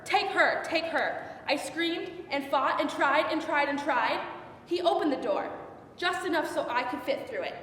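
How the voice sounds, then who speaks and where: A young woman playing an anguished biblical concubine in a dramatic stage monologue, with halting, repetitive, intense delivery. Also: sits high, a bit bright. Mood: desperate, anguished. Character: anguished young unnamed biblical concubine recounting her ordeal. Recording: echoey hall from far off, faint noise.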